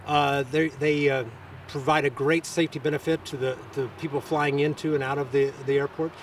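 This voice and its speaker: A man answering press interview questions as a local official, with halting, hesitant phrasing. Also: a little high, level tone, distant mic, a little hiss or hum.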